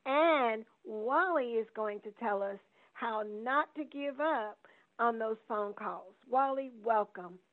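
A woman's voice: on the high side, animated, takes it slowly. A woman hosting a podcast, an insurance seller who introduces her guest in an upbeat, emphatic way. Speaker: podcast host, Medicare plan seller. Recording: tinny phone-line sound.

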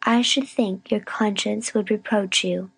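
A woman reading a storybook, playing a stern, sharp-tongued spinster with a grim, scolding manner. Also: medium pitch, steady tone, a little slow, gravelly, husky, voice pushed up, low-quality recording. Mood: reproachful. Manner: grim, firm, scolding, sniffy.

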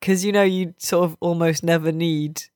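A woman's voice: lowish voice, fairly even intonation, moderate pace, a little mellow, no echo, clean studio sound. A British woman hosting a podcast in a casual, chatty way, with a jokey, teasing manner. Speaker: podcast host and games journalist.

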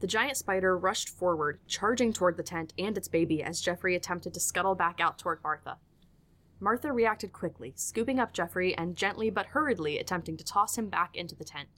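A woman's voice: on the low side, fairly quick, fairly bright tone. A woman reading scripted narration for an audiodrama as its storyteller.

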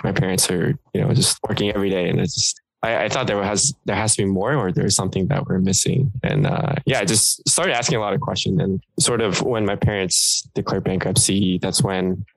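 A man's voice: low voice, steady tone, fairly quick, vocal fry, few pauses, no room sound, poor audio. A Korean-born young man telling his story as a podcast guest, rambling with lots of fillers and restarts. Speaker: guest entrepreneur. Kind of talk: casual interview talk.

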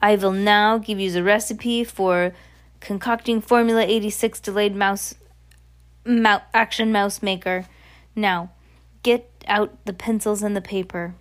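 Storybook villain: grand witch played by a woman reader, commanding, vicious, scheming, with odd grammar and a mid-range female pitch. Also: steady tone, measured pace, close to an everyday voice. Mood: boastful, instructing. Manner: commanding, oddly phrased grammar.

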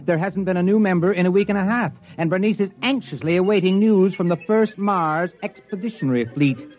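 An actor playing an anxious, excitable sociology professor in a 1950s radio drama. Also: male voice, sits high, a little fast, natural voice. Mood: grimly resigned. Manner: excitable, incredulous questioning. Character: anxious male sociology professor, exasperated and increasingly alarmed narrator. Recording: dull vintage radio recording, music behind.

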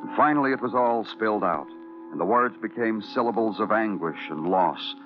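An actor playing a somber police detective with measured, literary phrasing in an old-time radio noir drama. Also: male voice, lowish voice, lively intonation, music in the background, close to an everyday voice. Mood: somber, lyrical. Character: somber, poetic male police detective narrating his case. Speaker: lead actor and narrator. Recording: tinny, band-limited vintage radio recording. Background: dramatic musical score.

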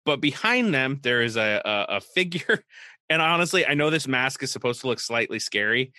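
Bright-voiced joking male podcaster. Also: medium pitch, lively intonation, normal speed, dry recording, clean studio sound. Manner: joking, sarcastic, rambling. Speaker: podcast co-host. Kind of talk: casual comedic chat reviewing a movie poster.

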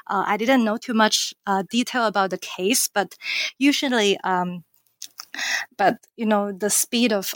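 A woman's voice: medium pitch, dry recording. Woman from China, academic guest, thoughtful with fillers, dry close sound. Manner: thoughtful, many fillers and restarts. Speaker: author and political scientist. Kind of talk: academic interview answer.

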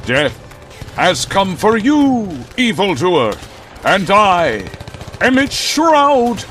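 A man's voice: high-pitched, wildly swooping pitch, very slow, fairly bright tone, a little hiss or hum, full character voice. A man playing a grandiose, righteous caped vigilante in old-time radio drama style, with pompous, melodramatic proclamations.

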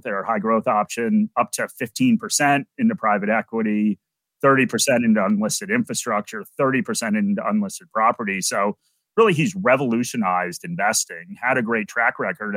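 A middle-aged American man, a podcast guest, explaining investing in a relaxed, conversational way with many "you know"s. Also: lowish voice, no echo, low-quality recording. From United States. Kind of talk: podcast interview.

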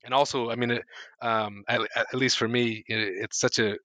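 A man from the United States, a guest speaking about his life in a relaxed, self-deprecating way with fillers and laughs. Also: medium pitch, normal speed, no room sound. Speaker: guest, filmmaker and lecturer. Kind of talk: casual interview conversation.

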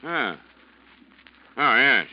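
An actor playing a proud, evasive ship's captain with a curt, defensive manner in an old-time radio drama. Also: male voice, mid-range voice, big pitch swings, drawn-out words, heavily acted. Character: proud, evasive ship's captain hiding his failing eyesight. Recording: muffled vintage radio drama recording.

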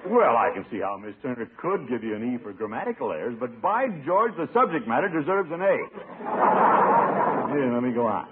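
Blustery, soft-hearted middle-aged uncle played by a male actor, hammy 1950s radio comedy, widely swooping pitch, tinny old broadcast. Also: sing-song, a little fast, over a noisy crowd, very noisy, natural voice. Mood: proud, flattered. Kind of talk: 1950s radio sitcom acting.

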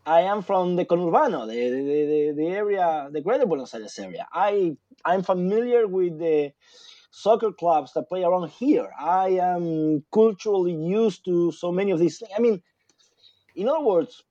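A man's voice: high voice, lively intonation, normal speed, warm tone, no echo, muffled recording. A man from Argentina, a guest in a casual interview, talking in a rambling way with many fillers and restarts. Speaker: guest author, sociologist.

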